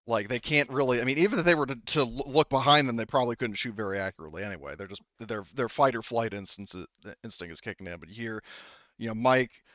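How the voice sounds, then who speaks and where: A man narrating a tabletop game as game master in a casual, halting, profanity-sprinkled way, on a dull recording. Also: medium pitch, a bit bright, no room sound. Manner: informal, profane, halting delivery.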